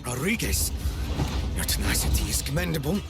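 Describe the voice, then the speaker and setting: An actor playing a scheming male villain in a game cutscene, smooth-talking but quick to bargain. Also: on the high side, sing-song. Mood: cool. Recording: game cutscene with music behind.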